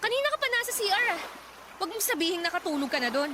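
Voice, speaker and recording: A very high-pitched, exasperated young wife in a radio drama. She scolds and retorts sarcastically, her pitch swinging widely. Noisy ambience sits behind her voice. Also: lively intonation, rapid-fire. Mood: irritated. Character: exasperated nagging young mother, fed up with her slob partner. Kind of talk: Tagalog radio drama acting.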